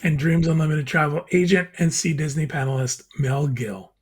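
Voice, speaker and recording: A man hosting a casual video panel chat, introducing guests and asking questions in a friendly, steady way. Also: a little high, moderate pace, breathy edge. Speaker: podcast host.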